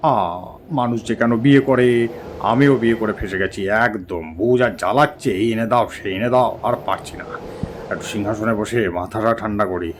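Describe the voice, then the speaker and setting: A man's voice: on the low side, fairly quick, slight background noise, lightly acted. A man voicing a weary, lordly god of death who grumbles colloquially in a comic cartoon. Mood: weary grumbling.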